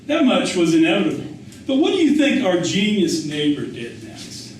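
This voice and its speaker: A man's voice: high voice, very animated, normal speed. Guy doing his stoic farmer dad telling cautionary tales, folksy n punchy, really echoey room. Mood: emphatic, relishing. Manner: folksy, emphatic, rhetorical questions. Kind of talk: acted quote within a literary reading. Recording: echoey room, distant mic, faint noise.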